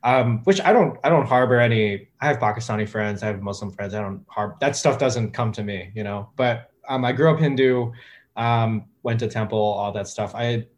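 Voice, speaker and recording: A man in his thirties, a guest talking casually in an interview, with a relaxed, hesitant way of talking full of fillers and restarts. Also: mid-range voice, normal speed. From United States. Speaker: guest, music producer.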